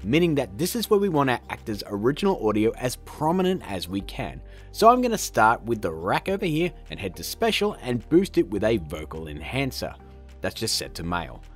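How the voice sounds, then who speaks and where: A man hosts a casual software tutorial in an upbeat, playful way, tossing in joking asides. His pitch leaps about with great animation, and soft music sits faintly underneath. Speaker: tutorial host.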